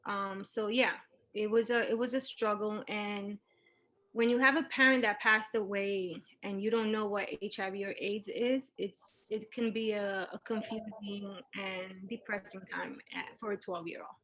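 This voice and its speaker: A woman's voice: medium pitch, steady tone, moderate pace, fairly bright tone, poor audio. A middle-aged woman, telling her personal story as an interview guest in a candid, informal way. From Puerto Rico and Brooklyn, New York.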